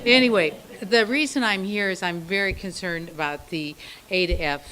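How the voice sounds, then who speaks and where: A woman's voice: on the low side, moderate pace, fairly bright tone, poor audio. A woman giving public comment at a board meeting, passionate and full of rhetorical questions.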